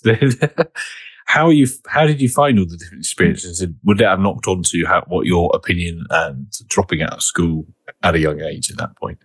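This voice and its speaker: A man's voice: deep voice, breathy edge, dry recording. A man hosting a podcast, asking friendly, chatty questions in a casual interview. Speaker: podcast host.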